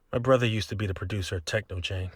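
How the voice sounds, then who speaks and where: A man's voice: lowish voice, husky, no room sound, clean studio sound. An actor playing a mild, polite young male gamer with an understated manner in a visual novel game.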